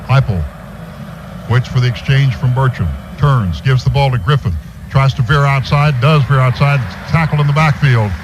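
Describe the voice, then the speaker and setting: An American man doing live play-by-play commentary of a football game for radio. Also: mid-range voice. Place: stadium radio booth. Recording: noisy stadium radio broadcast recording. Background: clear background noise.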